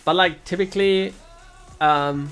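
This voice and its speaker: A man's voice: sits high, steady tone, unhurried, fairly bright tone, smooth, faint music behind. A man from Bavaria, a native German speaker, chatting casually on a game stream, often restarting sentences and chuckling.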